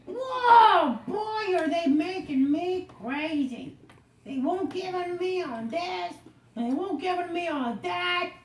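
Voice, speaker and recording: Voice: extremely high pitch, animated, slow, exaggerated voice. A performer voicing an eager, jokey bunny puppet who craves carrots in an improvised home puppet show. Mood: mock exasperated. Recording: roomy distant home recording, faint noise.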